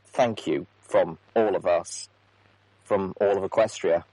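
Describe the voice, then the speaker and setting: A man voicing an earnest, rattled female pony executive in an audiobook, reading an official message in a halting manner. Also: low-pitched, fairly even intonation, dark tone, dry recording, voice pushed down, low-quality recording. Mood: formal, weary. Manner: halting, official script slipping into frustrated asides. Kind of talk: audiobook character monologue.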